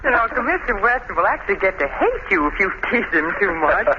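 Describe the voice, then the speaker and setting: An actress playing a sociable, teasing lady companion, expressive and polite, in an old-time radio drama. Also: mid-range voice, fast talker, natural voice. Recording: muffled, noisy old radio recording.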